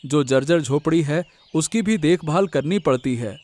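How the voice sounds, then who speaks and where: A man's voice: a little high, moderate pace, darkish tone, close to an everyday voice. A man voicing a humble, worried village servant in a cartoon drama, deferential and hesitant. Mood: worried, respectful. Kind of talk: Hindi cartoon family drama.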